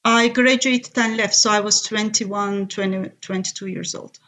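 A woman's voice: medium pitch, fairly even intonation, moderate pace, a bit bright. A woman from Turkey with a Turkish accent, a native Turkish speaker, chatting casually as a guest on a podcast. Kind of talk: casual conversational interview.

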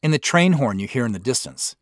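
A man's voice: mid-range voice, animated, fast talker, no echo. An American man giving a scripted behind-the-scenes commentary as a show's creator, explaining things conversationally and speaking directly to listeners.

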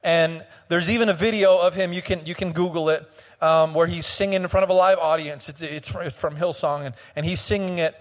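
Young male pastor, high voice, slightly hoarse, passionate half-sung preaching, long church reverb. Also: fairly even intonation. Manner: impassioned preaching with sung, drawn-out phrases and frequent restarts. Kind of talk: sermon. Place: church sanctuary.

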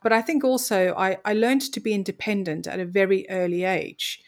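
A woman's voice: mid-range voice, brisk. A woman from Mozambique, telling her story as an interview guest in an articulate, reflective way. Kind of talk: conversational interview.

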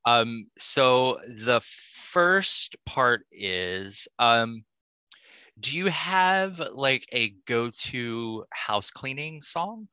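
Bright-voiced man hosting, unhurried. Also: mid-range voice, expressive, clear, resonant tone, no room sound. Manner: encouraging, brief approving replies. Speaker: podcast host. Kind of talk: casual interview questions.

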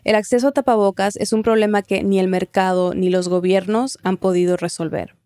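A woman's voice: mid-range voice, fairly even intonation, brisk, warm tone, no room sound, soft background music. Woman podcast host, close dry sound. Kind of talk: narrative news podcast, scripted narration with questions.

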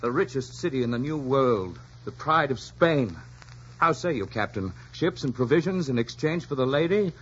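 An actor playing a scheming, deferential pirate first mate in a 1940s radio drama, theatrical and persuasive. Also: male voice, mid-range voice, lively intonation, normal speed, fairly bright tone, slight background noise, lightly acted. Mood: eager, scheming. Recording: muffled 1940s radio recording.

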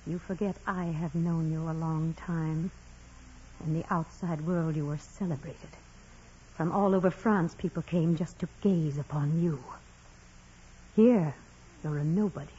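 An actress playing a severe, bitter senior nun in 1940s radio drama style, stern, clipped and intense. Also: female voice, sits low, steady tone, measured pace, warm tone, slight background noise. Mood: cold, accusing. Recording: dull, hazy vintage radio recording.

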